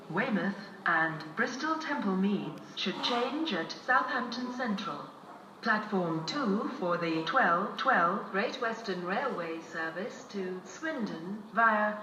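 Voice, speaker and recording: A British woman gives formal railway announcements at a slow, measured pace. She reads in neatly separated phrases, carried over an echoing station loudspeaker with clear background noise. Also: medium pitch, lively intonation, far from the mic. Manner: formal, measured, clearly segmented list reading. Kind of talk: scripted public address train announcement. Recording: echoey station tannoy from afar, noisy.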